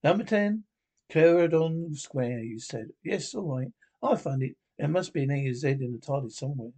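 Man reading wry time-travelling gentleman, dry and gallant, mellow husky voice. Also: fairly high pitch, lively intonation, moderate pace. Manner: dry, gallant, mildly sardonic.

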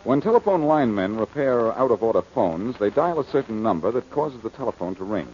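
An actor playing a suave, wisecracking amateur detective in an old-time radio drama, glib, teasing and self-assured. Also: male voice, on the low side, very animated, brisk, a little mellow. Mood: cocky, confident. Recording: muffled, noisy old radio recording.

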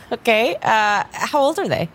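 A woman playing a casual, friendly young tarot reader in an improvised audio drama, talking in a halting, conversational way. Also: fairly high pitch, very animated, a bit bright, full character voice. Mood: friendly, curious.

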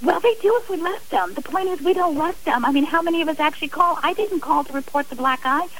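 A woman's voice: high voice, fast, a bit bright, low-quality recording. A woman speaking earnestly and emphatically as a guest in a radio interview. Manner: emphatic, impassioned.

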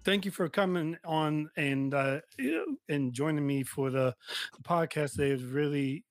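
A man's voice: on the high side, expressive, moderate pace, faint music behind. A man hosting a podcast interview, asking rambling questions full of restarts and filler words. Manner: rambling, with many restarts, likes and ums. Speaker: podcast host.

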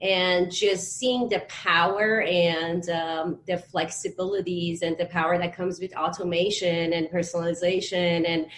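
Woman from Iran, panelist, lower voice, faint background noise. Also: fairly even intonation, unhurried, few pauses. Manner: thoughtful, many fillers. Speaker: panelist, tech leader. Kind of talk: panel discussion.

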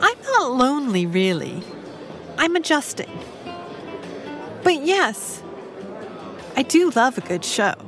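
An actress playing a genteel older society widow in an audio drama, polite, chirpy and refined. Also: female voice, high-pitched, sing-song, slow pace, long pauses, music in the background, exaggerated voice. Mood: breezy. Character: genteel, busy English society widow, bright and chatty.

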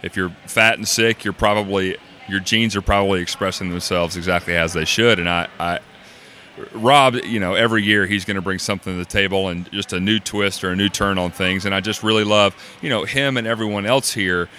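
A man in his thirties chats easily in an interview, his voice sitting low. He talks in a relaxed, joking way, with faint room ambience around him on a busy expo floor. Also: brisk, a little hiss or hum. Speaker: guest, cookbook author. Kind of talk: casual interview chat.

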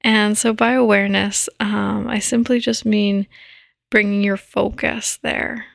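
Slow, soft woman guiding sleep meditation. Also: medium pitch, little pitch movement, dry recording, clean studio sound. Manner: soft, breathy, often near a whisper; soothing, gentle, unhurried. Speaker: podcast host and meditation guide. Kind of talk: guided meditation, sleep podcast.

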